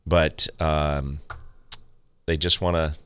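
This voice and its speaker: A man's voice: a bass voice, level tone, slow, fairly bright tone, clean tone, dry recording. A man hosting a garden talk radio show, chatting casually and bantering with his co-host. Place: radio studio.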